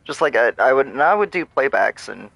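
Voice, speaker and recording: A man streaming a game, musing on philosophy in a rambling, thoughtful way with many pauses and fillers. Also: very animated, creaky, a bit bright, no room sound, rough recording. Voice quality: low-voiced. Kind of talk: casual musing while livestreaming a game.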